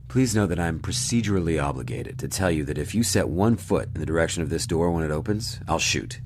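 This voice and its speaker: A man acts out a stern, armed ship's officer in a science fiction audio drama. His voice is very low and creaky, with wide swings in pitch. He speaks in clipped, formal, authoritative phrases. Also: expressive, brisk, slight background noise. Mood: stern, official. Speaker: security officer.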